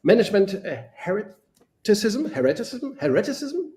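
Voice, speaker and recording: Man, German accent, native German speaker, slightly high voice, enthusiastic, rambling, word repetitions, casual co-host talk. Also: sing-song, normal speed, warm tone. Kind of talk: casual live discussion.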